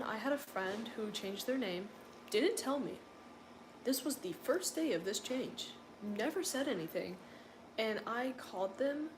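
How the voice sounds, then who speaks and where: A young woman from coastal North Carolina with a deep voice, chatting casually on a livestream and answering viewers' comments with lots of filler words. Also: background noise. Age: young adult. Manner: rambling, filler-heavy, exasperated. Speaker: livestream host.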